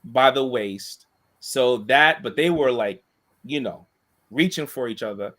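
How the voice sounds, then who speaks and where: Man, monotone voice, casual rambling storyteller, many restarts. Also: mid-range voice, measured pace. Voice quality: monotone voice, self-described. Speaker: co-host and school staff member.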